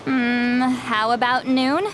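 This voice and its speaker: A woman's voice: a little high, little pitch movement, takes it slowly, a bit bright, slight background noise. A woman playing a haughty, grumpy, centuries-old shaman with a youthful voice in an anime-style video game. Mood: grumpy, defensive. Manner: curt, dismissive, turning eager expert on hobbies.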